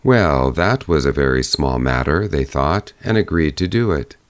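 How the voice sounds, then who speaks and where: A man narrating a fairy tale as an audiobook, in a measured storytelling manner. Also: a bass voice, animated, dry recording.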